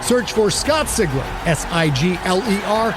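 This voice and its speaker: A man's voice: high voice, expressive, a little slow. A man delivering a scripted, dramatic promo read as a podcast announcer. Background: faint music.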